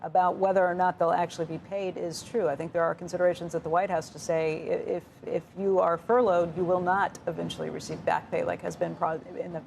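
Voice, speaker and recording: Low, creaky-voiced woman news interviewer. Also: level tone, a little fast, few pauses, a little mellow, slight background noise. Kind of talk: probing live news interview.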